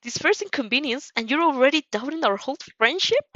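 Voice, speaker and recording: A woman's voice: high voice, lively intonation, fast, fairly bright tone, no room sound, dull audio, pitched above the performer's own voice. A woman playing an exasperated but caring teenage girl who scolds emphatically in a fanfiction audio drama. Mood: insistent, hurt.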